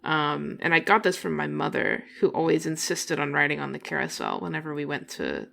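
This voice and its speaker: A woman's voice: low voice, lively intonation, brisk, vocal fry, fairly bright tone. A woman co-hosting a podcast in casual chat, rambling and giggling often, coming down with a cold. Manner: giggly, rambling with many fillers. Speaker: podcast co-host.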